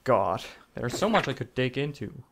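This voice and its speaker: A man's voice: medium pitch, sing-song, normal speed, background noise. A man telling comedic scripted stories in a theatrical, sarcastic, mock-dramatic manner. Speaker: storytelling video creator.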